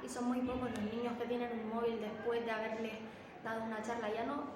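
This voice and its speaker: Higher-voiced woman, thoughtful, in a very echoey hall. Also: flat delivery, fast. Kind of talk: debate comments. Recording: very echoey hall with clear noise.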